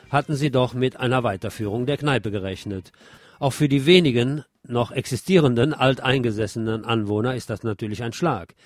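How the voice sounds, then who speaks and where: A man hosting a radio programme, reading scripted commentary and news. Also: mid-range voice. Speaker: radio host.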